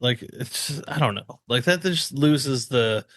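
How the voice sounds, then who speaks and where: A man with a medium-pitched voice chats casually as a podcast co-host. He is blunt and opinionated and swears freely, in a dry, close recording. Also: animated, slow, fairly bright tone, no echo. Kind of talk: casual chat.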